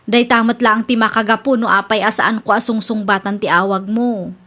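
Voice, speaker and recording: A woman acting a polite, soft-hearted but firm young single mother in a radio drama. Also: medium pitch, steady tone, moderate pace, lightly acted. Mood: apologetic.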